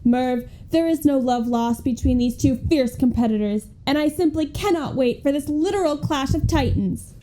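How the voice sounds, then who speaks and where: A keen female sports commentator in a radio comedy, played by a young woman, with a high voice and a soft, mellow edge. She analyzes every move in grave earnest, leaning hard on her points. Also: normal speed, few pauses, lightly acted, poor audio. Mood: keen, hyped. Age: young adult. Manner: earnest, emphatic analyst delivery.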